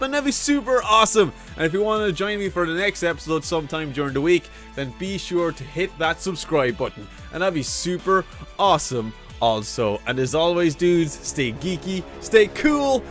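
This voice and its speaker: A man's voice: on the high side, animated, moderate pace, fairly bright tone, soft background music. A man hosting a reaction video in casual, enthusiastic, informal chat.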